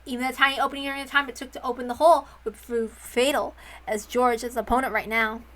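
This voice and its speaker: A woman narrating a visual novel aloud in an expressive, dramatic storytelling style. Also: fairly high pitch, brisk. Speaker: narrator, reader.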